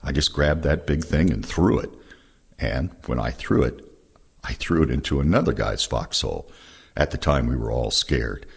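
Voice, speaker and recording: An older man with a gravelly, husky voice reading first-person war recollections aloud. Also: a bass voice, moderate pace. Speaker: reader of veterans' oral histories.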